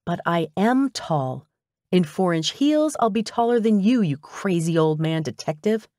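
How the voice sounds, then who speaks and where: A woman's voice: fairly low pitch, lively intonation, a little slow, mellow, dry recording, studio quality. A woman voicing a wry, lightly sarcastic young female assistant to a detective in an audiobook. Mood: wry, defiant.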